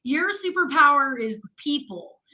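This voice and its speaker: A woman's voice: high-pitched, animated, a little slow, sharp tone. A woman radio host in casual chat with her co-host, chatty and laughing often.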